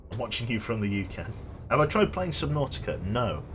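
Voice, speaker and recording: A man's voice: medium pitch, sing-song, brisk, a bit bright, slight background noise. A young man streaming a game, rambling casually and chatting with his viewers. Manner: rambling, chatty, reads chat aloud and jokes. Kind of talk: casual live-stream chat while gaming.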